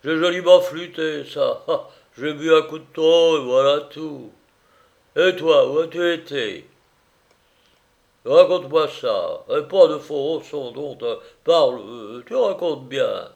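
A drunken master of the house, pitched up and loud. He stammers through slurred consonants, swinging between maudlin self-pity and mock dignity as he plays the magnanimous lord. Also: expressive, slow pace, vocal fry, exaggerated voice. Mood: boozy, rambling.

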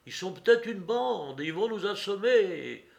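A rough, hot-tempered fairground man from a novel, as a male reader plays him. He blusters in exclamatory working-class slang, loud and raised in pitch. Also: lively intonation, unhurried, exaggerated voice. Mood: alarmed, pleading.

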